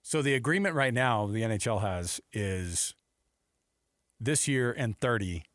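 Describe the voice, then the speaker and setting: A man's voice: on the low side, animated, a little slow, a bit bright, dry recording, clean studio sound. A man hosting a casual sports talk show in an informal, chummy way. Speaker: sports radio host. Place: radio studio.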